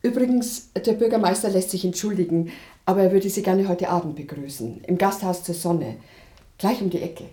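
An actress playing a polite, businesslike and slightly tart small-town secretary in a radio drama. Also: female voice, on the low side, moderate pace, mellow, roomy sound, lightly acted. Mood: brisk, tart.

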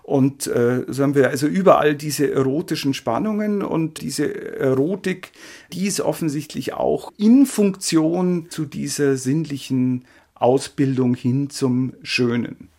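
A man's voice: fairly high pitch, measured pace, darkish tone. A man explaining as an interviewed expert, speaking off the cuff and searching for his words. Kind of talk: spontaneous explanatory interview talk.

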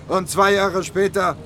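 A man's voice: high-pitched, fairly even intonation, takes it slowly, a bit bright, a little hiss or hum. An actor playing a chatty, amused Slovenian border policeman in a German radio drama. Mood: jovial, amused. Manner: jovial, chatty.

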